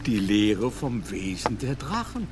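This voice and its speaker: An actor playing a learned English Jesuit doctor in a radio play, lecturing grandly and groping for German words. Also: male voice, medium pitch, sing-song, a little slow, background noise. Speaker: Jesuit scholar.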